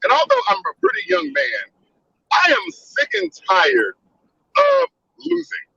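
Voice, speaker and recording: Man, 30s, passionate sports rant, emphatic, very high swooping voice, gravelly, muffled lo-fi mic. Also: wildly swooping pitch, unhurried, sharp tone, no echo. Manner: emphatic, heated, hammers repeated phrases. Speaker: podcast panelist. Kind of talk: impassioned fan rant.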